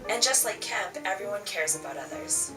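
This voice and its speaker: A woman's voice: mid-range voice, animated, moderate pace, bright, forceful tone. A woman giving scripted narration. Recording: distant mic with music. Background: music.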